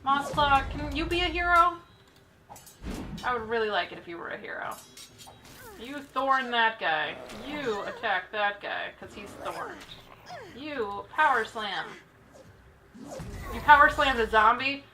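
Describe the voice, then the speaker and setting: Woman let's play host, forceful and high, casually scolding game characters, exasperated. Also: animated, measured pace, long pauses, a little hiss or hum. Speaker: gaming video host.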